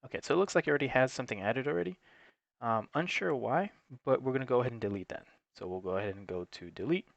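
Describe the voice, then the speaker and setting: A man's voice: mid-range voice, animated, quick pace, vocal fry, no echo, lo-fi sound. A man giving an unscripted, matter-of-fact, step-by-step tutorial in an instructional way. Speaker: technical support presenter.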